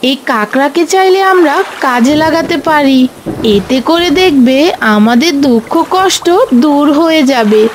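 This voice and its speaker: A woman voicing a hopeful, practical village wife in an animated folk tale, coaxing and explaining. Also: sits high, normal speed, a little hiss or hum.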